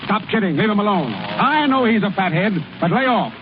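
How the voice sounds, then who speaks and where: High-pitched bossy 1930s team manager. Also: male voice, very animated. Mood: bossy, exasperated. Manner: bossy, slangy, brisk. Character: gruff, scheming baseball team manager, a man. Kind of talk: 1930s radio comedy acting. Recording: muffled, noisy old radio broadcast.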